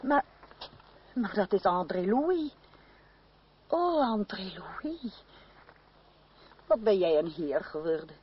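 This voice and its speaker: A woman's voice: medium pitch, very animated, slow, long pauses. An actress playing an affectionate middle-aged aristocratic lady in a radio play, with an effusive manner. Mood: delighted. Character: affectionate, gushing aristocratic lady of mature years. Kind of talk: Dutch radio play acting. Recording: dull, noisy old radio recording.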